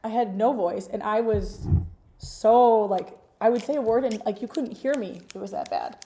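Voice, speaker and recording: Hoarse deep-voiced woman, chatty. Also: moderate pace, warm tone. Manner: chatty, teasing, storytelling. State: hoarse, recovering from lost voice. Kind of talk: casual chat.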